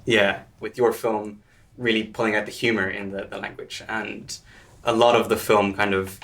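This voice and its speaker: Low flat-voiced male interviewer. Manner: measured, asks long reflective questions. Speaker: interviewer host. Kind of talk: live panel interview. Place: live event on stage.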